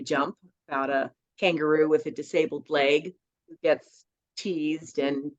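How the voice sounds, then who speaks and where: A woman author as a podcast guest, telling stories in a lively way with many fillers. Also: deep voice. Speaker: children's book author and guest. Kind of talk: conversational podcast interview. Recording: dull lo-fi audio.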